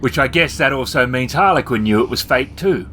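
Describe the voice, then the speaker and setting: A man runs a casual tabletop role-play in a mid-pitched voice with lively inflection. His delivery is dry and matter-of-fact. Faint music plays behind him. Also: a little fast. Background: background music.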